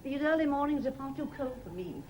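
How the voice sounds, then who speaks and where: A maid in a radio play, with very animated intonation. She is deferential yet blunt and grumbling, recorded with faint background noise. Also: high-pitched, brisk. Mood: deferential, brisk. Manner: matter-of-fact, deferential, grumbling. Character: blunt, chatty, deferential female household servant. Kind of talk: British radio drama acting.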